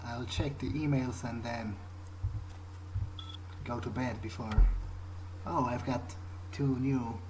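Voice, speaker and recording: A man's voice: medium pitch, steady tone, slow pace, long pauses, noisy background. A man improvising aloud in character during a game playthrough, speaking in a hesitant, thoughtful, musing way. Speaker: gamer playing in character. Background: game music.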